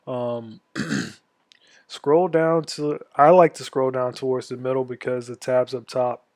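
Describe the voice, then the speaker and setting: A man in his twenties from Florida, an army veteran walking viewers through a tutorial in a casual, halting way, stuffed up from a cold. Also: medium pitch, fairly even intonation, darkish tone, no room sound, studio quality. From Florida, USA. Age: 20s. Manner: halting, many ums and restarts. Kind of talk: casual screen-share tutorial.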